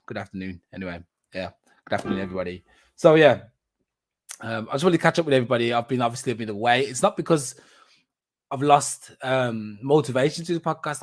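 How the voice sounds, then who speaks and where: A man in his thirties hosting a podcast, chatting casually with lots of ums, repeated words and restarts. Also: medium pitch. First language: not German. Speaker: podcast host. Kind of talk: casual solo catch-up chat.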